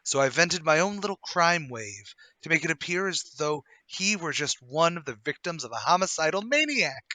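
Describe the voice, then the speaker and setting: A man plays a smug gentleman villain in a radio mystery. His voice is bright, forceful and on the high side, with haughty, theatrical phrasing. The sound is close and dry. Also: sing-song, moderate pace, no echo, exaggerated voice. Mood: coolly boastful. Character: smug gentleman murderer, flustered then coolly confessing. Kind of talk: radio play table read.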